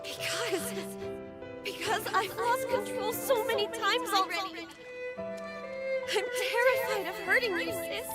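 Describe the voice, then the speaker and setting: A woman playing a gentle, fearful, girlish younger sister in a video game cutscene, pleading and breathlessly emotional. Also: very high voice, unhurried. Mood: tearful, desperate. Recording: very loud orchestral music over voice. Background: very loud music with violin.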